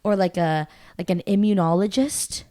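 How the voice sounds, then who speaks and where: A young woman in her twenties co-hosting a podcast, a bit congested, rambling in a relaxed way. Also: medium pitch, moderate pace, no echo, studio quality. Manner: relaxed, rambling, thinks aloud. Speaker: podcast co-host. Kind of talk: casual chat.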